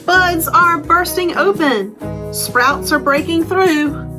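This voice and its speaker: High-pitched woman hosting a children's storytime. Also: takes it slowly, over music. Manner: addresses young children directly with simple, encouraging invitations. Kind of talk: children's storytime presenting and read-aloud.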